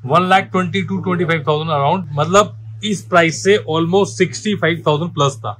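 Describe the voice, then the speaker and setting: A man selling car accessories in his shop, talking the viewer through each fitted part with a pushy salesman's pitch and calling them brother. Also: a little fast, a bit bright, slight background noise. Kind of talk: sales pitch walkthrough video.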